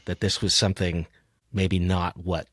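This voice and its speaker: A man's voice: low-pitched, level tone, normal speed. A man from the United States hosting a conversational interview in an attentive, reflective way. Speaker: podcast host.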